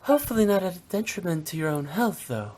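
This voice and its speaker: A woman's voice: lowish voice, big pitch swings, fast talker, darkish tone. A woman voicing a playful young funeral director with a cheeky, bubbly, teasing manner, in a video game.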